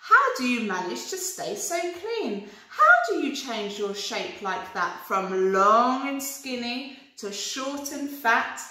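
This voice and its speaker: A woman's voice: a little high, very animated, takes it slowly, hardly any pauses, pushed and bright, distant mic, full character voice. A woman voicing an eager male storybook rabbit poet who recites rhymes with a flourish, in an echoey room. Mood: curious, marvelling.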